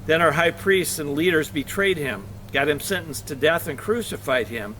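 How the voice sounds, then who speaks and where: Man, fairly high voice, church scripture reader, expressive delivery, occasional stumbles. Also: normal speed, a little hiss or hum, rough recording. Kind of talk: scripture reading aloud.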